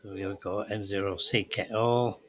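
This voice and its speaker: A man's voice: fairly low pitch, fairly even intonation, moderate pace, fairly bright tone. A man presenting an amateur radio tutorial, explaining methodically step by step over a demonstration.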